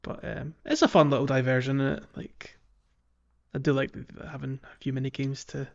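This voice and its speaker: A man co-hosting a gaming podcast, chatting casually and haltingly as he gathers his thoughts. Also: medium pitch, little pitch movement, gravelly, muffled recording. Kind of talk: casual chat about a video game.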